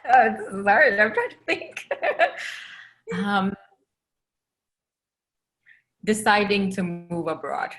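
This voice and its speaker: Lively-pitched woman from Ethiopia. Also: takes it slowly. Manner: short, thoughtful answers with a light laugh. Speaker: interview guest. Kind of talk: casual conversation.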